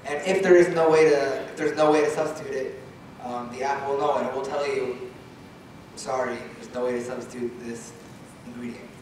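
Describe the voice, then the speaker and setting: A man's voice: mid-range voice, fairly even intonation, normal speed, a little mellow. A young man presenting an app and answering audience questions, confident and blunt. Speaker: student presenter. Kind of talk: answering questions after a pitch. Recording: echoey room, far from mic, noisy.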